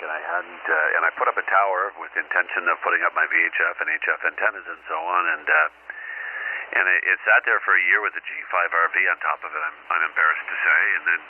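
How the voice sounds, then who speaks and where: A man's voice: sits low, phone-quality sound. A middle-aged or older man talking casually over a radio transmission, rambling and hesitant, often repeating his words. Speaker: amateur radio operator, caller. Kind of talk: casual ham radio conversation. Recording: band-limited noisy ham radio transmission.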